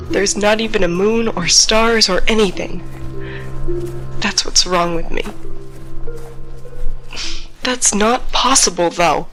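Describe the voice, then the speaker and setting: A bright, tense voice of a stranded young female student explorer, acted by a woman in an audio drama. She speaks slowly and haltingly, talking to herself with anxious self-doubt. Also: medium pitch, poor audio. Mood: exasperated, bewildered. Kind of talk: audio drama monologue, recorded log.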